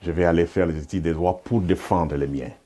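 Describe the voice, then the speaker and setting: A man's voice: deep voice, fairly even intonation, a little fast, mellow. A man from Congo telling his personal story of being called to the ministry. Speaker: pastor. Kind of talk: personal testimony.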